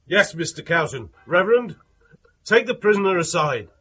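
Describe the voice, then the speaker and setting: A man acting a nervous, deferential hangman in a radio drama, halting and defensive about his work. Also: high-pitched, big pitch swings, a bit bright, breathy edge, muffled recording, soft background music, full character voice. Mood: flustered. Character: nervous, deferential hangman, flustered by failing gallows.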